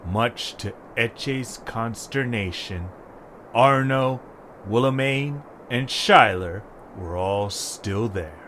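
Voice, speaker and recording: A man's voice: mid-range voice, slow pace, fairly bright tone. A man narrating a scripted audio story as its narrator. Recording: faint background noise.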